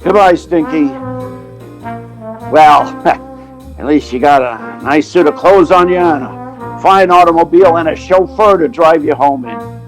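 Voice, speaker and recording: A man's voice: fairly high pitch, unhurried, long pauses, soft background music, close to an everyday voice, poor audio. A man playing a sentimental theatrical agent who tells his sad tale in a folksy, slangy, wistful way, in old time radio drama style. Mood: resigned, sad.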